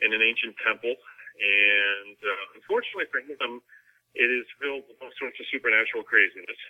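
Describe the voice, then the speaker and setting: A man's voice: mid-range voice, brisk. A man giving a casual interview as a novelist, talking in a chatty, jokey way over a remote call. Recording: dull, lo-fi phone-like line.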